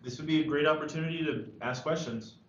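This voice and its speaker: A man acting an idealistic, well-meaning high school senior in a screenplay table read, tentative and earnest. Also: fast talker, a bit bright, lightly acted. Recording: echoey room, dull lo-fi, faint noise.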